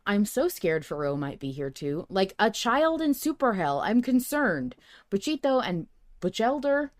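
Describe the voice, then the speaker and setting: A woman's voice: medium pitch, big pitch swings, a little slow. Emphatic woman podcast co-host. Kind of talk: casual fan podcast chat, reading listener emails.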